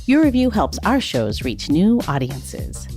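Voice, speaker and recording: A woman announcer reading a scripted promo in a smooth, promotional manner. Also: on the low side, sing-song, measured pace, a little mellow. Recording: music bed behind. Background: faint guitar music.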